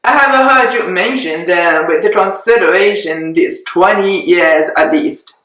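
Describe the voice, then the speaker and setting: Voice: mid-range voice, measured pace, a bit bright, natural voice. A reader voicing a dry, sarcastic older father who teases his wife, in a dramatic reading. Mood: wry. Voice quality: dry, teasing. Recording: very echoey room.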